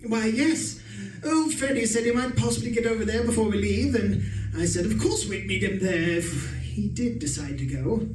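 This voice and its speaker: A man's voice: high voice, lively intonation, normal speed, a little hiss or hum. A man acting a henpecked, secretly murderous husband in a radio suspense play, hesitant and deferential. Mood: meek, nervous. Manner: hesitant, deferential, stammering under questioning. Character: henpecked, scheming English husband, nervously meek, secretly murderous. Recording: echoey room, distant mic, music behind.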